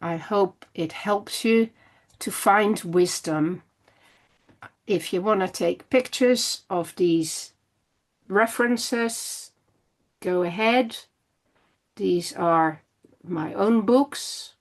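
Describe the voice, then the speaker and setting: An older woman closing a philosophy workshop on a video call, speaking in a calm, encouraging way. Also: mid-range voice. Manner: slow, calm, encouraging delivery. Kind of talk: closing a lecture, then leading Q&A. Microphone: online video call.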